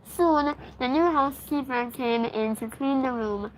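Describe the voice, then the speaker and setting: A woman with a high voice reads a children's storybook aloud as host. The recording carries clear background noise behind her. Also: a little slow, low-quality recording.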